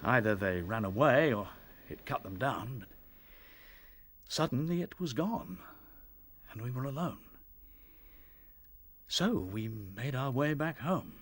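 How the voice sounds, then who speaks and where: A man playing a weary, earnest rabbit officer in a radio play, telling his tale gravely with a British accent and a husky voice. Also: mid-range voice, lively intonation, takes it slowly, long pauses, fairly bright tone. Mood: awed. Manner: grave, dramatic storytelling. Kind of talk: radio drama acting.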